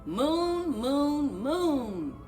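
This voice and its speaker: Animated, high-voiced woman reading a storybook. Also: drawn-out words, faint music behind. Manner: animated, rhythmic singsong. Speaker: library story time reader. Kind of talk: children's storybook read-aloud with chanted refrains.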